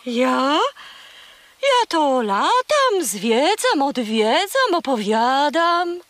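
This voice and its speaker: High-pitched, boastful cartoon seagull. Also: female voice, sing-song, drawn-out words, a bit bright, dry recording, heavily acted. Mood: boastful storytelling. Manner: haughty, dramatic, rambling. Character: boastful, hungry, chatty female seagull. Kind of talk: children's audio play acting.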